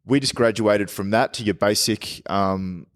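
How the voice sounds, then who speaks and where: A man in his thirties talks through his advice in a relaxed, step-by-step way, calling people "man" and "dude". His voice sits fairly low. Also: unhurried. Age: 30s. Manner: laid-back, explains step by step, says man and dude. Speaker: guest, clothing label owner. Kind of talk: casual interview chat.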